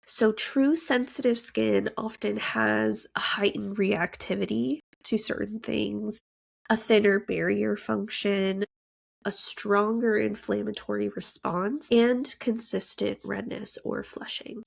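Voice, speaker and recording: A woman hosting a podcast, stuffy and congested, explaining things in a steady, scripted way. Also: medium pitch, vocal fry. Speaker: podcast host.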